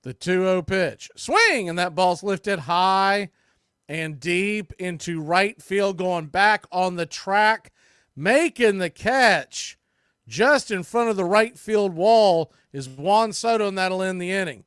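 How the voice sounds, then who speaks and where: A man's voice: sits high, slow, smooth, dry recording. Dry-sounding baseball play-by-play man. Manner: steady calls, rising excitement on big plays. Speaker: play-by-play announcer.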